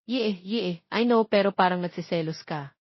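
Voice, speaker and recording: A woman's voice: on the low side, moderate pace, no room sound, muffled recording. A woman voicing a feisty, sassy young heroine of a romance story, indignant and questioning, with a Filipino accent. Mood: surprised, teasing. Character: feisty, easily smitten young woman playing hard to get.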